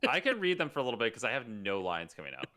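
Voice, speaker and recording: A woman's voice: very deep voice, sing-song, very fast, bright, forceful tone. A woman reading stage directions at a casual table read with friends, giggly and often breaking into asides.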